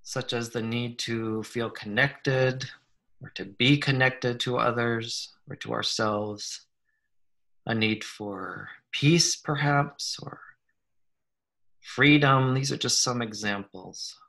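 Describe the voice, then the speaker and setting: A man leading a guided meditation in a gentle, unhurried manner, leaving long pauses between short phrases. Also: medium pitch, steady tone, gravelly. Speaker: guided meditation leader, psychologist. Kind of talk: slow guided meditation, soothing instruction.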